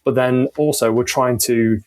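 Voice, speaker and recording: A man's voice: medium pitch, fairly even intonation, normal speed, darkish tone. A man chatting casually as a podcast guest, rambling and reflective, often checking for agreement. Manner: rambling, reflective, seeks agreement with tag questions. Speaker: podcast guest with audio engineering background. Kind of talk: casual conversation.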